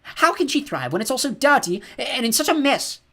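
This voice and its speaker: A worried, tender mother, as a male narrator puts her on, lifted well above his own pitch. She turns shrill and loud when upset and softens to a hush when comforting. The voice stays somewhat husky. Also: expressive, quick pace. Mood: distraught. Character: anxious, tender mother of a sick baby.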